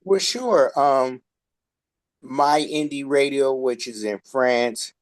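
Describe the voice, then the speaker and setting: A man hosting a radio interview, chatting casually with halting, hesitant phrasing. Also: medium pitch. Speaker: radio host.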